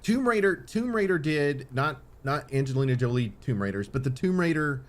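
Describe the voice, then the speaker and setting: A man's voice: mid-range voice, animated, normal speed. A man hosting a podcast in casual, opinionated chat, rambling and circling back over his points with casual swearing. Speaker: podcast host.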